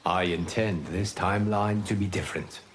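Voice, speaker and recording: A man voicing a calm, solemn god in a video game, formal, measured and grave, speaking as a wise keeper of time. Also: sits low, slight background noise, close to an everyday voice. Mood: stern, measured. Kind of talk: video game fight-intro dialogue.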